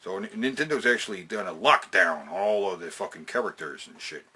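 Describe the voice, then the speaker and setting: Forceful, husky man, animated and sweary. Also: sits low, a little fast. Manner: sweary, slangy, emphatic, repeats phrases for emphasis. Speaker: host of a video game and movie countdown. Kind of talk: casual opinionated countdown commentary.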